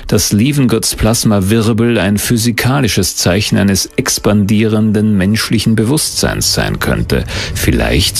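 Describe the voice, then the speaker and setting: A man's voice: fairly low pitch, creaky, husky. A man reading scripted narration as the narrator of a documentary.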